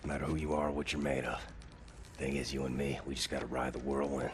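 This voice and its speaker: Very deep, gravelly American soldier. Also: male voice, level tone, quick pace, background noise. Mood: resolute. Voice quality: gravelly, hushed. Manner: laconic, murmuring. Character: weary, gruff American soldier hero, tender underneath. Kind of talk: video game cutscene acting. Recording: dramatic music behind the voice.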